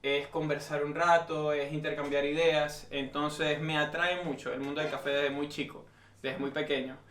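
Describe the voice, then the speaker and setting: A man calmly introducing himself as a barista interviewee, speaking in a measured, reflective way. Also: fairly high pitch, very noisy. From Venezuela. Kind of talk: on-camera self-introduction. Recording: loud background guitar music.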